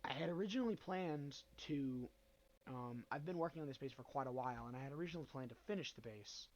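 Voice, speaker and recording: A man's voice: mid-range voice, quick pace, a little mellow, lo-fi sound. A young man with a head cold narrates his game build in a loose, rambling way. His mid-range voice is stuffy, full of ums, restarts and second thoughts. He sounds like a casual gaming host at his desk mic. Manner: rambling, hesitant, many ums and self-corrections. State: nasal from a cold.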